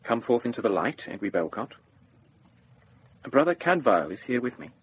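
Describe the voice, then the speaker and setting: An actor playing a brisk, shrewd deputy sheriff with an air of authority in a radio drama. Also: male voice, medium pitch, sing-song, breathy edge, dull audio. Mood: stern, measured.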